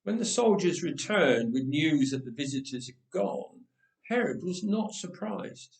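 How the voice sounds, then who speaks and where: Slow-paced male storyteller in an echoing room. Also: a little high, dark tone. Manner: measured, dramatic phrasing with pauses. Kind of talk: expressive storybook narration. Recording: roomy echoing voice recording.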